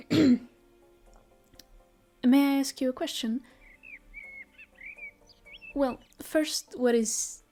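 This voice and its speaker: Casting: soothing elf ranger woman for a fantasy comfort audio drama, soft whispery delivery, slightly high voice, a woman playing her. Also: fairly even intonation, extremely slow, pauses often, soft background music, heavily acted. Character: gentle female elf ranger, caring and shy. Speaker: voice actress. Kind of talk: audio roleplay, comfort ASMR.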